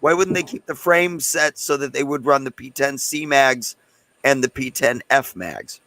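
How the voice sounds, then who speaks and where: A man with a hoarse, fading voice hosting a casual livestream and talking loosely and chattily to his viewers. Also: mid-range voice, moderate pace, pushed and bright, dry recording. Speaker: host of a live gun channel stream.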